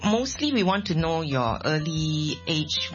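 A co-host asking the guest measured questions in an interview. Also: mid-range voice, big pitch swings, highs cut off, soft background music. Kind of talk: interview hosting.

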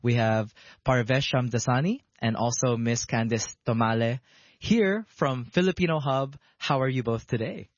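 A man's voice: mid-range voice, normal speed, dry recording. A man hosting a radio talk show in a studio, chatting in a friendly, playful way with his guests. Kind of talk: casual radio chat. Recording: dull dry studio sound.

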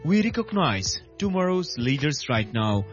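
Animated male announcer, rhetorical emphasis. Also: a little high, measured pace, highs cut off, soft background music. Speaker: show intro announcer. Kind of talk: scripted inspirational manifesto narration.

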